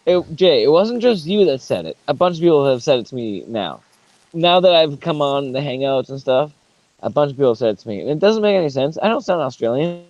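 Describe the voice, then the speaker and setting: Man with a Boston accent, a bit high. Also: big pitch swings, fairly quick, a little mellow, no echo, lo-fi sound. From New England. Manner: rambling, laughs at himself, many restarts. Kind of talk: casual group chat.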